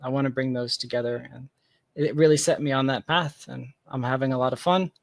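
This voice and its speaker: A man's voice: mid-range voice, steady tone, fairly quick, vocal fry, no echo. A man speaking as an interview guest in casual, reflective conversation, searching for his phrasing as he goes. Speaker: interview guest, tech founder.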